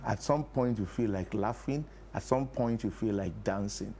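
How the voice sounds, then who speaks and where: A man's voice: fairly low pitch, moderate pace, dark tone, a little hiss or hum. A man with a Nigerian accent, speaking conversationally as an interview guest. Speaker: actor and producer, interview guest.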